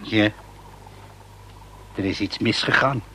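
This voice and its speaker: An actor playing an eccentric, opinionated inventor in a radio play, chatty and indignant, with emphatic rhetorical delivery. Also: male voice, lowish voice, slow pace, bright, forceful tone, a little hiss or hum. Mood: sheepish. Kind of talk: Dutch radio play dialogue.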